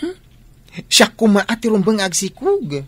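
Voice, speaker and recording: A woman's voice: mid-range voice, wildly swooping pitch, measured pace, husky, no room sound, full character voice. A woman playing a worried, caring sibling in an Ilocano radio drama, with an Ilocano accent. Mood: subdued. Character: worried, caring adult sibling looking after sick sister.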